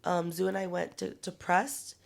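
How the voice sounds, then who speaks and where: A woman's voice: lowish voice, level tone, normal speed, vocal fry. A young woman co-hosting a casual chat podcast, congested and partly voiceless, telling stories loosely with plenty of swearing. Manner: loose, profane, storytelling. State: congested, lost voice. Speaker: podcast co-host.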